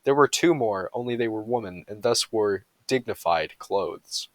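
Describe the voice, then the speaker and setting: A man's voice: fairly low pitch, expressive, a little slow, dry recording. A man reading humorous bedtime stories aloud with a mock-serious storytelling delivery.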